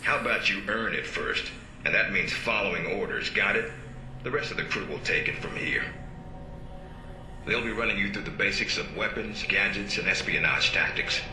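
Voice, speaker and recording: Low, authoritative actor as spy boss. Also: male voice, noisy background, natural voice. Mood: commanding. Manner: brisk, authoritative, sardonic. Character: stern, gruff male spy agency superior. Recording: roomy, loud music underneath.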